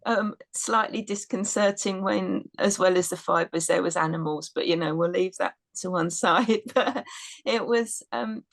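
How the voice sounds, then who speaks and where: Woman, gravelly low voice, dry close sound, hesitant casual talk. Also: unhurried, dry recording. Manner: hesitant, with restarts and laughs. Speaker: citizen science participant. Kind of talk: casual webinar chat.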